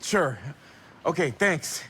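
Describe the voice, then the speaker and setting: A man's voice: fairly high pitch, expressive, slow, sharp tone, a little hiss or hum, heavily acted. An actor playing an uneasy, guarded young man in a video game cutscene, hesitant and stammering. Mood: puzzled, wary. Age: young adult.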